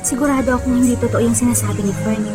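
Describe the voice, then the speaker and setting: An actress plays a young best friend in a radio drama, direct and supportive. Her voice is high-pitched, with music clearly playing behind it. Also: fairly even intonation, very fast, close to an everyday voice. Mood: protective. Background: music bed.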